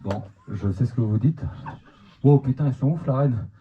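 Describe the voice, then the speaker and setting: A man's voice: mid-range voice, normal speed. A young man from the Manche region of France with a Normandy accent, doing a teasing stand-up set in a club and often restarting his words. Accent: Manche Normandy French. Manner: teasing, self-mocking, stammering restarts. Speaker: stand-up comedian. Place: comedy club stage. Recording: dull lo-fi club recording, noisy. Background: audience laughter.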